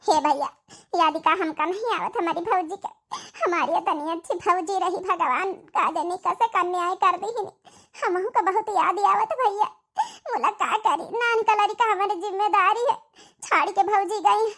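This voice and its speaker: Cartoon village sister played by a woman: tiny, very high voice, Awadhi accent, plaintive and dutiful. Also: normal speed, a bit bright. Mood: sorrowful. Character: devoted, grieving young unmarried sister in a village cartoon. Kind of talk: dramatic cartoon dialogue in dialect.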